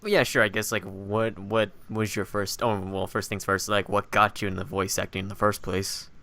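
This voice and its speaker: A man with a somewhat low voice hosts a loose, informal conversation. He puts questions casually and chimes in readily with jokey asides. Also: little pitch movement, moderate pace, bright, forceful tone, no room sound. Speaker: podcast host, interviewer. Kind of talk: casual chat.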